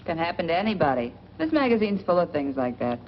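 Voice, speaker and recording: Deep-voiced cynical wisecracking dame. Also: female voice, sing-song, fast. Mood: sardonic. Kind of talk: 1940s film acting. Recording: dull, noisy old film soundtrack.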